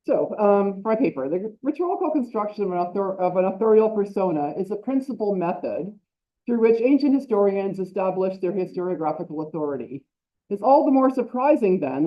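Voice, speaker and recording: A woman at a conference, reading her academic paper aloud with quick self-correcting asides and a loud voice. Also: mid-range voice, dull audio. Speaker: conference speaker. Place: lecture hall.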